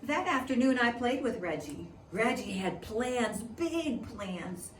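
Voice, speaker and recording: A woman reads a picture book aloud for young children at an unhurried pace, with lively swings in pitch. She sounds a little distant from the mic, in a roomy, echoing space. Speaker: preschool teacher reading a storybook aloud. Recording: echoey room, distant mic, faint noise.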